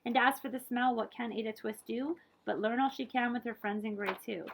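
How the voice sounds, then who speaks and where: A woman reading a picture book aloud to children as a librarian, with expressive, dramatic emphasis. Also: on the high side, fairly quick, creaky, slight background noise. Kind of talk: animated storybook read-aloud.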